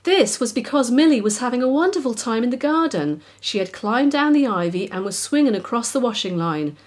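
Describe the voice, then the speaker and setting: A woman's voice: a little high, expressive, a little fast. A woman narrating a children's story expressively as its author.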